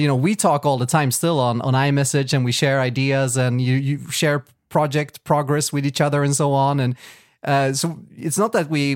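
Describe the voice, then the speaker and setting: A man's voice: mid-range voice, no echo, clean studio sound. A man whose first language is Swedish, co-hosting a tech podcast in casual chat, rambling with lots of fillers and restarts. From Sweden. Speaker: podcast co-host.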